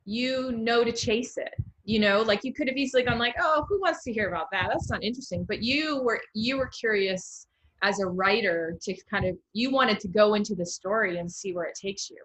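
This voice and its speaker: A woman speaks as the host of an informal interview about writing. Her pitch is average for a woman, and her manner is conversational and encouraging. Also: a little fast, fairly bright tone, poor audio. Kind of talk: casual literary interview.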